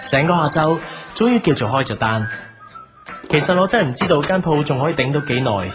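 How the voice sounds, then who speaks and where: A man's voice: a little high, animated, fairly quick, lightly acted. A man with a Hong Kong Cantonese accent playing a wistful, struggling café owner who narrates a reflective, melancholy audio drama. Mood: weary, regretful. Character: wistful struggling café owner recalling his lost wife. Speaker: lead actor and narrator. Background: music behind the voice.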